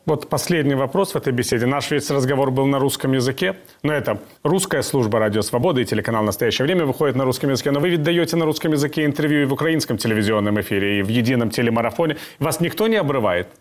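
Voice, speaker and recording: A man hosting a radio and TV interview, asking questions and closing the program in a measured, formal way. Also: mid-range voice. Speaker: interview host.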